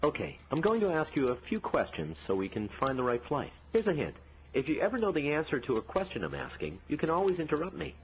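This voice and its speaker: A man's voice: fairly high pitch, huge pitch swings, fast. A man voicing a friendly, patient automated airline phone agent in conversational scripted prompts. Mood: patient, guiding. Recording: dull lo-fi phone demo, noisy.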